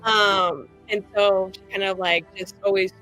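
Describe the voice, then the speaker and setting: A woman casually narrates her tabletop character. Her voice is bright and mid-pitched, her phrasing slangy, rambling and playful. Faint music runs behind her. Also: flat delivery, measured pace, poor audio. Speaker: tabletop roleplaying player.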